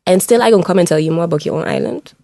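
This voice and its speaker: A woman's voice: lowish voice, rapid-fire, gravelly. A woman with a Sint Maarten Caribbean accent, chatting as a podcast guest and acting out what others say. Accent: Sint Maarten Caribbean English. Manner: animated, playful, repeats words, acts out others. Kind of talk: casual podcast chat.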